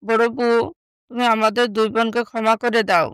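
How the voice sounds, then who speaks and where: A woman's voice: fairly high pitch, level tone, normal speed, a bit bright, smooth, no echo, studio quality, lightly acted. A woman playing a contrite young stepsister, once scheming, in a moral story. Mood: begging forgiveness. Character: scheming young stepsister, now poor and remorseful.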